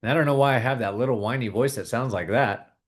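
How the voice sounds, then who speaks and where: A man hosting a video, calmly guiding viewers through a tapping exercise with repeated phrases. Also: medium pitch, fast. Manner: calm, repetitive, coaching tone. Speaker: host leading a tapping exercise. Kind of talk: guided tapping exercise and money talk.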